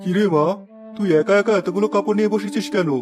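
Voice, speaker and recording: A high, quick-talking father, voiced by a man, firm and protective of his daughter. Music sits under the dialogue. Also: lightly acted. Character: caring middle-aged village father.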